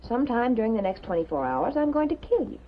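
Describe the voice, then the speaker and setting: An actress playing a sharp-tongued, worried newspaper colleague, prim and insistent, in a 1950s television drama. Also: female voice, a little high, big pitch swings, quick pace. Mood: reading aloud. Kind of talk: 1950s American TV drama acting. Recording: muffled, noisy 1950s television soundtrack.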